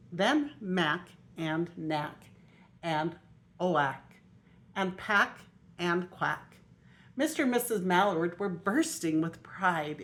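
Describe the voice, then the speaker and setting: Older woman, low voice, reading a storybook. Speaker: grandmother reading to grandchildren. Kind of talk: storybook read-aloud for young children. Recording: faint noise on homemade video.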